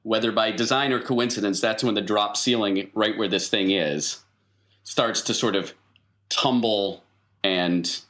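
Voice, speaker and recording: Male game master with a lowish voice, improvising tabletop RPG narration conversationally, pausing as he finds colourful detail. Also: fairly bright tone.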